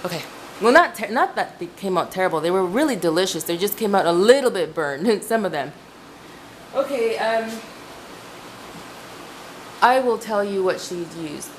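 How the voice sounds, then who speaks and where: A woman's voice: mid-range voice, animated, normal speed, pauses often, a little hiss or hum, poor audio. A woman from Ecuador whose first language is Spanish, chatting casually and rambling as she sets out ingredients in her home kitchen, often correcting herself. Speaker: home cooking vlogger. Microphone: camera mic, speaker off screen.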